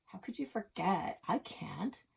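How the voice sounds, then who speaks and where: A woman's voice: mid-range voice, lively intonation, unhurried, vocal fry, breathy edge, full character voice. A woman voicing a haughty, skeptical older sister from a children's novel, scornful and challenging. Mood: exasperated.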